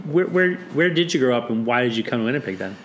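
A man's voice: mid-range voice, animated, fast talker, slight background noise. A man interviewing an author, talking casually with many fillers and small restarts. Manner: hesitant, many fillers and laughs. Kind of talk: conversational interview.

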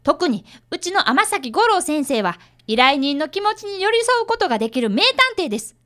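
A very high, animated boy's voice, acted by a woman, polite and earnest. He is an eager young detective's apprentice in a radio drama, keen to prove himself. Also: moderate pace. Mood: indignant, earnest. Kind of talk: radio drama acting with first-person narration.

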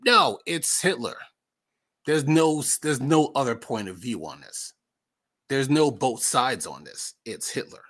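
A man's voice: mid-range voice, animated, measured pace, a bit bright. A man with an American accent giving casual, opinionated political commentary as a podcast host, conversational and emphatic, repeating himself for effect.

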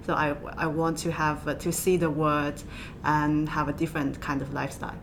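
A woman from southwest China with a Chinese accent, answering interview questions in halting, hesitant phrases. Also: little pitch movement, creaky. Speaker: podcast guest, accountant. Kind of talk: interview conversation. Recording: low rumble and background noise.

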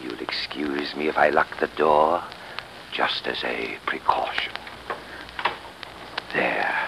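An actor playing a sinister church architect in 1930s radio style, politely smooth on the surface and menacing underneath. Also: male voice, rumbling low, steady tone, slow, full character voice. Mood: menacing, commanding. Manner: politely smooth, then menacing, theatrically commanding. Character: sinister church architect, gloating murderous villain posing as helpful. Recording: noisy old 1930s radio recording.

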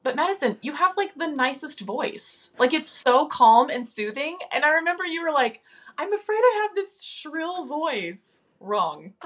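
A woman's voice: sits high, sing-song, unhurried. A woman guest on a podcast, chatting casually and self-deprecatingly, with many likes and ums.

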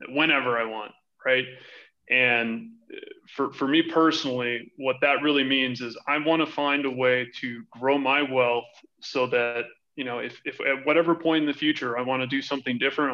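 A man's voice: medium pitch, pushed and bright, muffled recording. A man in his thirties from Hawaii with an American accent, answering conversationally as a podcast interview guest. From Hawaii, United States. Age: 30s. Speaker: podcast guest, financial advisory director.

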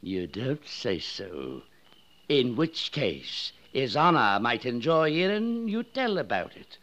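An actor playing a pompous, officious village constable in a 1950s radio drama. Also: male voice, medium pitch, sing-song, slow, a bit bright.

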